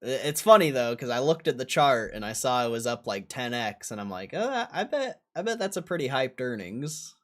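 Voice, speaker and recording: A man's voice: medium pitch, sing-song, fairly quick, hardly any pauses. A man hosting a livestream, chatting casually and informally with viewers in slangy, often repeated phrases. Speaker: livestream host. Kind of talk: casual livestream chat, reading out viewer comments.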